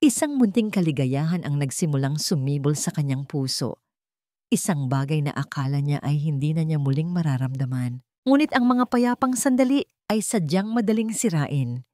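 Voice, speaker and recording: Low-voiced Filipina narrating a romance story. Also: female voice, lively intonation, moderate pace, dark tone, dry recording, clean studio sound.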